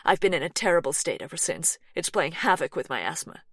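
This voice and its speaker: Woman doing a soft American twang. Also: low voice, sing-song, fairly quick, gravelly, husky, no echo, clean studio sound, full character voice. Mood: defensive, anxious. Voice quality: soft, firm. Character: softly spoken anxious American young woman.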